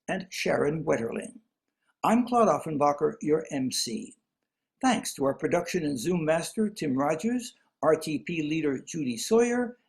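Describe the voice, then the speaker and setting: A man's voice: fairly high pitch, gravelly. A man serving as master of ceremonies, giving genial, announcer-like scripted introductions over a video call.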